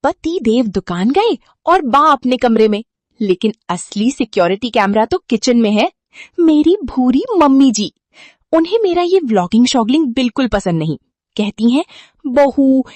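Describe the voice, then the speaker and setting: A woman's voice: sits high, very animated, moderate pace, a little mellow, no room sound. A woman playing a chirpy, cheeky modern young daughter-in-law who vlogs in a cartoon story. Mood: cheerful, cheeky. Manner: playful, cheeky, mixes in English slang. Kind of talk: cartoon vlog-style storytelling.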